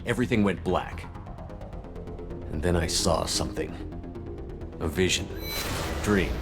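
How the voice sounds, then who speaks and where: A man playing a seasoned space soldier in a video game, recounting past missions in grave, measured, clipped phrases. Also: low-pitched, natural voice. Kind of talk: scripted first-person game narration.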